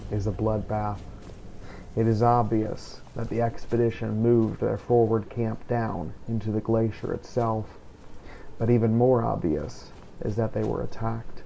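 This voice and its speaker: A man narrating a game playthrough in character, as a scripted story told in a grave, measured manner. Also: fairly low pitch, vocal fry, warm tone, slight background noise, poor audio.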